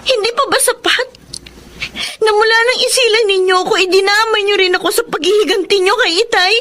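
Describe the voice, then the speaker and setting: A woman's voice: extremely high pitch, fairly quick, pushed and bright, a little hiss or hum. A woman playing a suffering eighteen-year-old daughter in a Filipino radio drama, plaintive and reproachful. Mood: tearful reproach. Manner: emotional, plaintive delivery.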